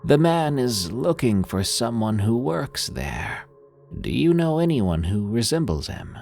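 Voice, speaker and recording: A man voicing a calm, wise older male priest who speaks in a measured way. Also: mid-range voice, vocal fry, faint music behind, pitched above the performer's own voice.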